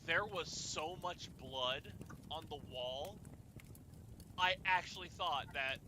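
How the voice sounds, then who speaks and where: A young man telling personal stories casually, in a halting way with repeated words and restarts. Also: on the high side, background noise. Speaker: storyteller and host of a group chat.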